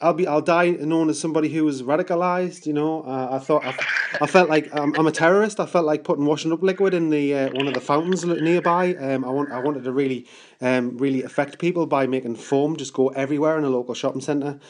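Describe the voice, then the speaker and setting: A man's voice: a little high, fairly even intonation, quick pace, background noise. A British man making an improvised prank call, rambling and stammering with mock-earnest seriousness. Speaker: prank caller.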